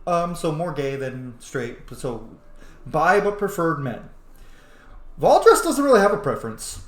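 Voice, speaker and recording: High-voiced, animated man in an echoey room. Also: unhurried. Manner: chatty, profane, exclamatory. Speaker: let's player narrating gameplay. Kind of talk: casual gameplay commentary.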